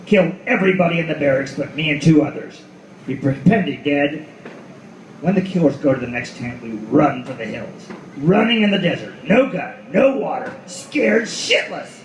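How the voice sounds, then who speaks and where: An actor playing a hard-boiled last detective in an apocalyptic radio play, speaking in a terse, urgent, profane way. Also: male voice, fairly high pitch, lively intonation, normal speed, slight background noise, close to an everyday voice. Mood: grim, tense. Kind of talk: noir radio play reading before an audience. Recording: echoey live room, distant mic, loud guitar behind.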